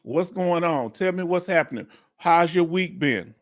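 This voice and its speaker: Folksy man in his fifties, higher voice. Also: animated, a little slow, soft background music. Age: 50s. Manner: folksy, repeats himself, coaxes the audience to join. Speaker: livestream host and veteran claims advisor. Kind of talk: casual live Q&A talk.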